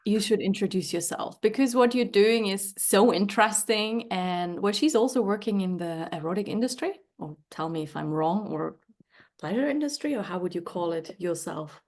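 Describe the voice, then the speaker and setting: A woman's voice: mid-range voice, animated, moderate pace, a little mellow. A woman, the host and a sex therapist, greeting her viewers and asking loose, rambling questions in a casual interview.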